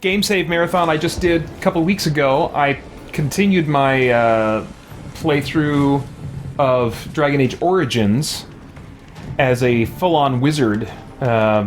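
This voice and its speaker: A man hosting a gaming let's play with casual live commentary and animated, theatrical reactions. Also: medium pitch.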